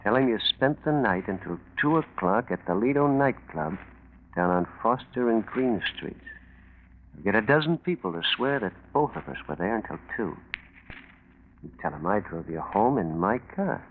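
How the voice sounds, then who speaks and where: A tinny vintage radio recording carries a male small-time crook, slippery and brash. He bullies and wheedles in slang, his lowish voice swinging up and down. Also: animated. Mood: scheming. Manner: slangy, bullying, fast-talking persuasion. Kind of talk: 1940s radio acting. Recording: tinny, faintly noisy 1940s radio recording.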